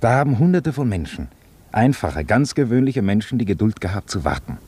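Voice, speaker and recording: Very deep-voiced, earnest chaplain. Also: male voice, sing-song, fairly quick, warm tone. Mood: earnest, reflective. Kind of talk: German radio play acting.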